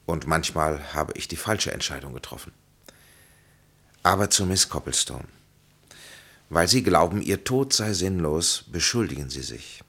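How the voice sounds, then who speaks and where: An actor playing a grave, authoritative physician in a German radio play, speaking in measured, emphatic, formal phrases. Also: male voice, deep voice, a bit bright, breathy edge, heavily acted. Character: grave, authoritative physician and counsellor, solemn and consoling.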